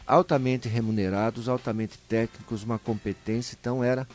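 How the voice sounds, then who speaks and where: A man with a São Paulo countryside Brazilian accent, telling stories in a colloquial, animated way as a guest in a live interview. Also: medium pitch, a little fast. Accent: Brazilian Portuguese, São Paulo interior. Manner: animated storyteller, acts out short dialogues, colloquial. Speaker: interview guest, local historian.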